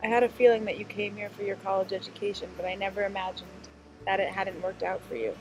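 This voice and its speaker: A woman reads her documentary narration at a quick clip, in a calm, matter-of-fact tone. Her voice sits in a middle register. Light music runs underneath. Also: mid-range voice, low-quality recording. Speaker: interviewer voicing her assumptions.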